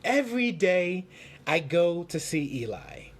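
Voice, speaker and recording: A man's voice: sits high, sing-song, a little slow, voice pushed up, heavily acted. A man voicing a carefree, self-assured female wooden puppet in a children's storybook, easygoing and encouraging. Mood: breezy.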